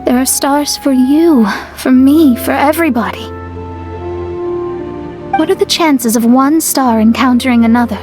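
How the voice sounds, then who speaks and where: A woman playing a proud, thoughtful young astrologer in a video game, speaking in a measured, theatrical way. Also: high-pitched, music in the background. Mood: wistful, resolute. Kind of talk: video game voice acting, dramatic monologue.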